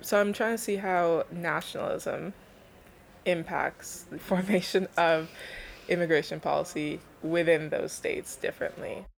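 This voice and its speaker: A woman's voice: fairly low pitch, steady tone, unhurried, creaky, a little hiss or hum. A young woman from Canada, explaining her research in an informal, thoughtful interview style. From Canada, born in Belgium to Nigerian parents. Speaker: interviewed thesis student.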